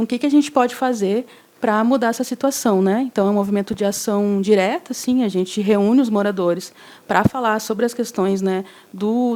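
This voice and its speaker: A woman's voice: on the high side, warm tone. A woman speaking as an interview guest, a housing movement coordinator, explaining in a casual, hesitant way with frequent fillers.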